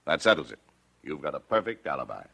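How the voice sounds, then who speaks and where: An actor playing a tough, businesslike homicide inspector with clipped official questioning in 1940s radio crime drama. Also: male voice, rumbling low, big pitch swings, quick pace, vocal fry, over loud music, full character voice. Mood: brisk, frank.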